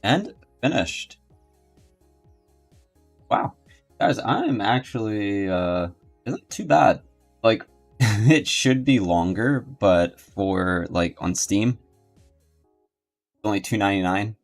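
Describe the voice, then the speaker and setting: A low-voiced man hosts a casual game stream. He rambles and thinks out loud as he talks to viewers, with faint game music playing behind him. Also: lively intonation, takes it slowly, pauses often. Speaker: video game streamer and host. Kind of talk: casual livestream commentary.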